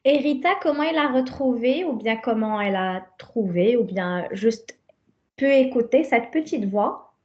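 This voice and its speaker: Female interviewer, fairly high voice, very unhurried deliberate questions, slightly dull recording. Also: animated, darkish tone. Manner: deliberate, builds questions in short phrases. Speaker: podcast host. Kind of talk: conversational interviewing.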